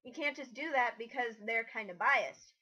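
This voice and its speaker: High, bright-voiced woman, blunt and exasperated. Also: moderate pace. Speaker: co-commentator on an amateur critique. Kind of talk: casual critical commentary.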